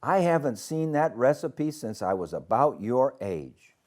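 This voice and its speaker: A man's voice: mid-range voice, sing-song, unhurried, a little mellow, voice pushed up. A man voicing a kindly, nostalgic grandmother in a children's storybook, reminiscing fondly. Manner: fond, reminiscing.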